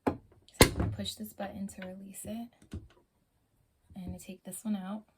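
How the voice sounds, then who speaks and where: A hoarse woman who has lost her voice, casually explaining an unboxing step by step and pausing with fillers, recorded in a small bedroom. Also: medium pitch, flat delivery, heavy background noise. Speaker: product reviewer.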